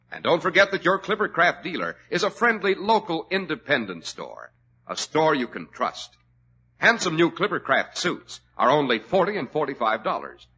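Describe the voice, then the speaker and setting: Bright, punchy 1940s radio announcer, a man. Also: a little high, husky. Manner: brisk, salesy, emphatic. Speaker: radio announcer and sponsor host. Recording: old radio broadcast recording.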